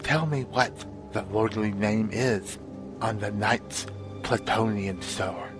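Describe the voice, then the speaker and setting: Male reader for dramatic poetry, slightly deep, very measured delivery. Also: steady tone, over music. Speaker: reader in a group dramatic reading.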